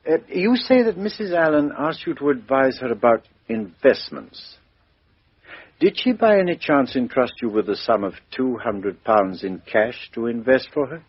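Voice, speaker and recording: An actor playing a polite British chief inspector in a radio mystery, hesitant and measured but quietly insistent. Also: male voice, medium pitch, lively intonation, breathy edge. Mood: firm, formal. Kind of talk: radio mystery drama acting. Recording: dull, muffled radio drama recording.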